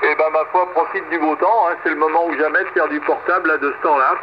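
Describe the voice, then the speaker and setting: A man's voice: fairly high pitch, steady tone, fast. A man chatting casually as an amateur radio operator, heard through shortwave radio. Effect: shortwave single-sideband radio. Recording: muffled, crackly shortwave radio reception.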